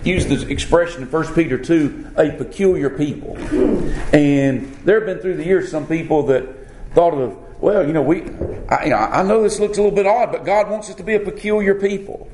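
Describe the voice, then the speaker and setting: A man's voice: fairly high pitch, fairly quick, a little mellow, echoey room, a little hiss or hum, low-quality recording. A man from the southern United States with a Southern accent, preaching a sermon in a folksy, conversational way with many fillers. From born South Alabama, grew up North Florida.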